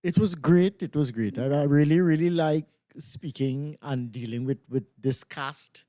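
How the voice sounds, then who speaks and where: A man, a stage actor answering casually in an interview, halting and repeating phrases. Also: fairly high pitch, dark tone.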